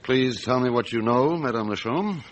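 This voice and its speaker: A man playing a measured, quietly observant French chief police inspector in a radio drama, questioning tersely and musing in asides. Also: medium pitch, animated, lo-fi sound, lightly acted. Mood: measured, probing. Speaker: actor in lead role, also narrating.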